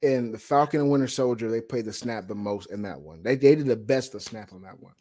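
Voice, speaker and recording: A man's voice: lowish voice, fairly quick, mellow. A man in a casual, opinionated chat, blunt and emphatic, repeating words and swearing heavily. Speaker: guest co-reviewer.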